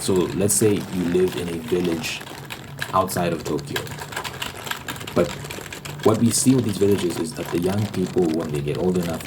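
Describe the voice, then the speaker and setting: Low-voiced man rambling in ASMR style. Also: noisy background. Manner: explanatory, repeats points for emphasis. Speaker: host of a rambling ASMR channel. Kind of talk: ASMR, unscripted opinionated ramble.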